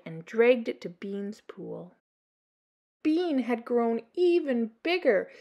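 A middle-aged woman reading a children's story aloud in an expressive storytelling style. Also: a little high. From Canada.

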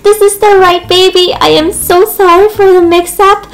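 A woman plays an eager nurse with a very high, girlish voice. She sounds hesitant, fussy and sorry, in a children's doll story with background music. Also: little pitch movement, moderate pace. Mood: apologetic. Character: flustered, apologetic, eager hospital nurse with a girlish voice. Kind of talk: toy doll roleplay.

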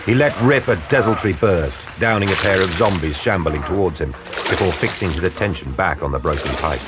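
A man giving a grave, measured, dramatic narration for an audio drama. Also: sits low, animated, very noisy. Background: dramatic music.

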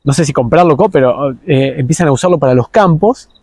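A man's voice: a little high, a little fast, a little mellow, no room sound. A young man with a Rioplatense Argentine accent, chatting casually as an interviewer outdoors. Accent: Rioplatense Argentine Spanish. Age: young adult. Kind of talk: casual chat. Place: outdoors in a garden.

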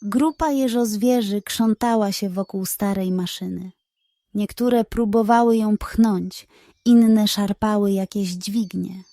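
A woman narrating a scripted children's bedtime story in a measured, expressive manner. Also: medium pitch, no room sound, studio quality.

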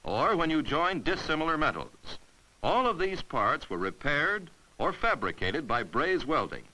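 1950s training film guy narrating, clear and patient, bright punchy voice. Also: a little high, big pitch swings, measured pace, a little hiss or hum, poor audio. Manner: clear, patient, step-by-step instruction. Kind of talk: scripted instructional narration. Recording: 1950s educational film soundtrack.

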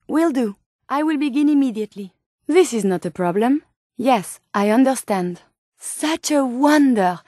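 High-voiced French robot scientist. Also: female voice, darkish tone, no echo, studio quality. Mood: polite, businesslike, then eager wonder. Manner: precise, earnest, formal scientific phrasing. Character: eager, idealistic, curious female robot scientist. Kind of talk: scripted video game companion dialogue.

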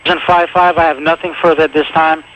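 High-pitched male dispatcher on very noisy radio. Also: little pitch movement, fast. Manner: clipped, procedural. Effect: two-way radio. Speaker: emergency dispatcher. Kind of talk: terse radio dispatch, reading back calls and times.

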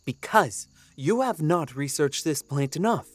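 Man as fussy, higher-voiced god of order. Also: animated, measured pace, no room sound. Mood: pedantic, assured. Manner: pedantic, precise. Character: fussy, confident male god of order and cataloging. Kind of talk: fantasy audio drama acting.

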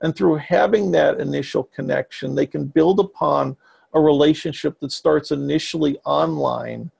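A man in his fifties, a child psychiatrist and ministry leader, answering podcast interview questions over a webcam call from a home office, in measured, explanatory, long flowing sentences. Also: fairly high pitch, vocal fry, a little mellow, husky. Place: home office with bookshelves, indoors. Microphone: webcam video call.

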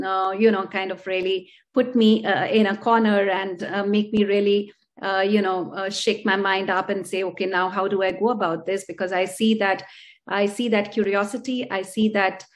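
A woman speaks from home over a laptop call. She thinks aloud in a reflective way, with plenty of ums and uhs. Her voice sits in an ordinary middle range. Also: mid-range voice. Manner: reflective, speaks in first person as a learner, many fillers. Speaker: panelist. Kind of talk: online panel discussion. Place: home room, video call. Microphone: laptop video call.

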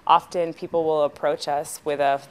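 Low, smooth-voiced woman explaining calmly. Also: moderate pace. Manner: calm, explanatory. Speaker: subsistence resource specialist. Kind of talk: documentary interview voice-over.

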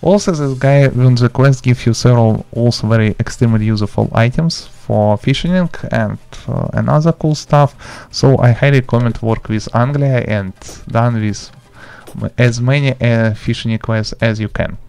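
A man, speaking in halting, simple step-by-step instructions as he hosts an informal video game tutorial. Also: medium pitch, vocal fry, a little mellow, clean tone, no echo. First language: not English. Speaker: gaming tutorial host. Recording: music behind the voice. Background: background music.

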